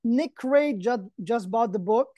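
A woman's voice: fairly high pitch, expressive, unhurried, dark tone, dry recording, studio quality. A woman chatting casually as an off-camera helper on a livestream. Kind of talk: casual chat.

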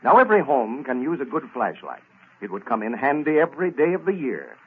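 Lively 1930s American radio announcer, man. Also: medium pitch, fairly quick, breathy edge. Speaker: radio announcer reading a sponsor message. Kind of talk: 1930s radio advertising pitch. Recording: tinny, band-limited vintage radio recording.

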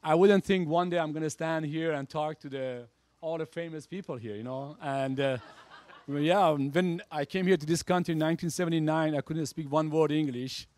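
A man's voice: a little high, normal speed, darkish tone, dry recording. A middle-aged man, a restaurant owner, giving a humble, earnest thank-you speech on a handheld mic. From Iran.

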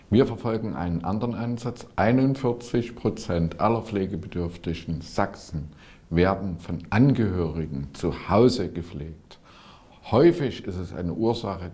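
A man in his forties giving a measured, prepared policy statement to camera as a politician. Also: deep voice, warm tone. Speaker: party politician. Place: small indoor video studio. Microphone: lapel.

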